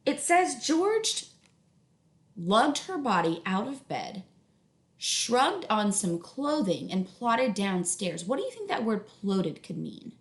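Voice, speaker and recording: A woman reading a story aloud like a teacher, pausing to explain and check understanding with young listeners. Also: mid-range voice, sing-song. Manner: instructional, checks understanding, affirms listeners' answers. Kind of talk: read-aloud narration with questions to young listeners. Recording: echoey room sound.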